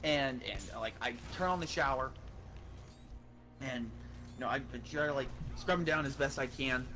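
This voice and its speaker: A man telling a story in an animated, casual way, swearing and sounding exasperated as he goes. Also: mid-range voice, normal speed, faint music behind. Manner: profane, exasperated, uses acted-out dialogue.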